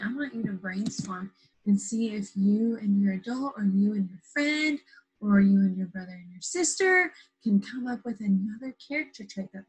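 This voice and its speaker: Woman, primary teacher, bright forceful voice, slightly husky, simple questioning phrases, stretched key words, children's lesson. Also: mid-range voice, low-quality recording. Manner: simple, patient phrasing with frequent questions to young listeners. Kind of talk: interactive children's lesson after a read-aloud.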